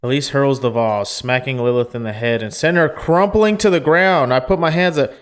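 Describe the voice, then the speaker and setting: A man's voice: mid-range voice, moderate pace, clear, resonant tone. A man reading a visual novel aloud as narration, breaking off for casual commentary.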